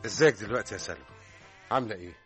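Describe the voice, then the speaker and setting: An actor playing a gentle, reassuring Egyptian husband in a radio drama. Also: male voice, fairly low pitch, very fast, faint music behind, natural voice. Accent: Egyptian Arabic.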